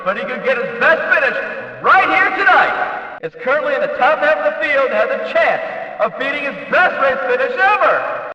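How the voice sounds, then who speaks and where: A man playing an excitable, showy race announcer in a video game, calling the action with emphatic, stretched-out delivery. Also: extremely high pitch, exaggerated voice. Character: excitable, booming male demolition-derby race announcer. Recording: roomy echoing reverb, distant from mic.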